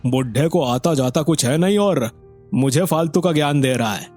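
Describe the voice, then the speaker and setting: A man's voice: fairly high pitch, expressive, moderate pace, darkish tone, soft background music, natural voice. A man voicing an arrogant, impatient young villager, a selfish elder son, brusque and complaining in a moral story. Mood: irritated. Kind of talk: acted moral story dialogue.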